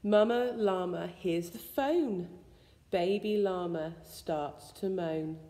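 A woman reads a rhyming children's picture book aloud in an expressive, sing-song storytelling way. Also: fairly low pitch, slow pace. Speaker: school staff member reading a bedtime story. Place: school room with suspended ceiling, indoors. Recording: echoey indoor room with long reverb.

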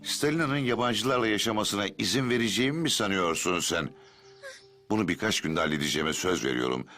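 Deep, earnest cartoon guardian. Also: male voice, a little fast, pushed and bright. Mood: earnest, reassuring. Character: protective circus man, earnest and emotional. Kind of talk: dubbed cartoon acting. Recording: faint music behind the voice.

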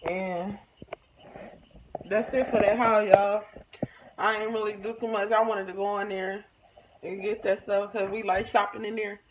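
Casting: American woman, everyday vlogger, folksy informal chat, medium pitch, clearly noisy handheld recording. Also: level tone, unhurried, pauses often, muffled recording. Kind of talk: casual chat to camera, showing purchases. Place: room at home, indoors. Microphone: phone or camera at arm's length.

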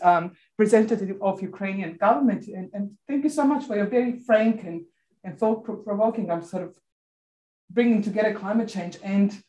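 A middle-aged woman from Croatia with a Croatian accent, giving earnest, measured closing remarks in a university lecture room, recorded by a distant camera. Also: medium pitch, a little mellow. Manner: earnest, measured, some hesitations. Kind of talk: formal closing address. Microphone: far camera, standing at lectern.

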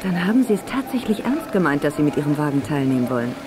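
A woman's voice: deep voice, sing-song, quick pace. An actress playing a cool, guarded businesswoman in an audio drama, on a noisy old cassette. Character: cool, guarded businesswoman running a race registration.

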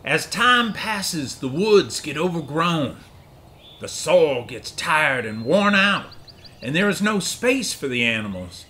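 A man's voice: fairly high pitch, very animated, slow pace, bright, forceful tone, slight background noise. A man narrating a children's cartoon in a storybook way.

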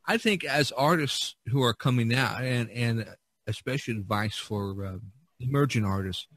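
A male podcast host with a mid-pitched voice, talking casually in a dry recording. He offers advice emphatically, pokes fun at himself and circles back to repeat his points. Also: normal speed, a bit bright, dry recording. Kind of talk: casual discussion, giving advice.

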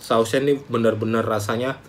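A young man, chatting casually to camera in a small room as a food vlogger, animated and talkative. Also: medium pitch, brisk, slight background noise. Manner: chatty, animated, calls himself by name. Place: small room indoors, plain wall.

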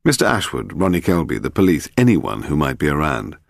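A British man playing a calm, probing gentleman amateur detective in a measured, noncommittal way for an audiobook. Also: rumbling low, creaky, no room sound, clean studio sound, heavily acted.

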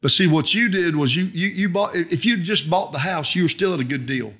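A middle-aged Southern American man talks quickly and conversationally as a podcast guest. He explains his business in a folksy way, often circling back to rephrase, close on a studio microphone. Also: a little high, a bit bright, breathy edge. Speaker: guest, auctioneer. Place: indoor podcast studio at a round table. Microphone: boom-mounted podcast microphone up close.

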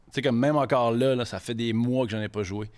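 A man's voice: medium pitch, fairly quick. A man in his thirties from Quebec with a Québécois French accent, a chef guest chatting casually on a podcast, switching into English words as he talks. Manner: animated, heavy franglais, gestures while talking. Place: podcast studio with stone wall. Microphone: desk mic on boom arm.

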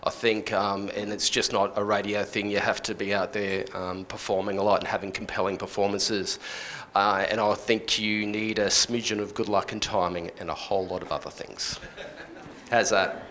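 Low, even-toned man. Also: moderate pace. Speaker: panel speaker giving advice. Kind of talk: informal panel talk. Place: stage in an indoor venue with a small audience. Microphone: handheld microphone. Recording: noisy live room.

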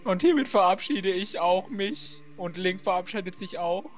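A man's voice: sits high, lively intonation, normal speed, lo-fi sound. A young man co-commentating on a video game with silly, joking, casual banter. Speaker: guest co-commentator. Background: video game music.

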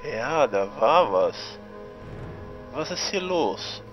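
A man playing a gruff armored guard on alert in a fan-made fantasy radio play. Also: medium pitch, very animated, slow pace, highs cut off, faint music behind. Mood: suspicious, alert.

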